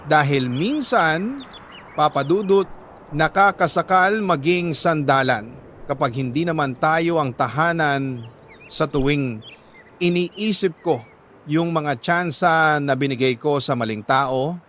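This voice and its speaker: Male Filipino storyteller, lightly high-pitched, emotive and measured letter narration, some background noise. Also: a bit bright. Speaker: radio storyteller reading listener letters. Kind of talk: dramatic first-person letter narration.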